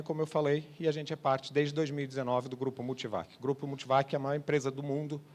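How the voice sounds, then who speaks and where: A man's voice: medium pitch, darkish tone. A man in his forties with a Brazilian accent tinged by Portugal, giving a conversational conference presentation and explaining things with frequent check-in tags. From Brazil (born Rio de Janeiro) and Portugal. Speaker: sales manager giving a conference talk. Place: trade congress presentation space.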